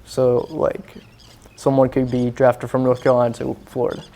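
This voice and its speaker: A man's voice: medium pitch, completely flat delivery, moderate pace, gravelly, warm tone. A man who grew up in Singapore, talking as an interview guest in an earnest, rambling way with lots of fillers. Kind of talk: casual interview chat.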